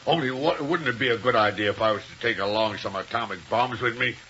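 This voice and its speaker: An actor playing a dim-witted, eager henchman with a gravelly voice and slow, hesitant, folksy speech in a 1930s radio serial. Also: mid-range voice, pushed and bright. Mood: sheepish, hopeful. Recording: noisy, muffled 1930s radio recording.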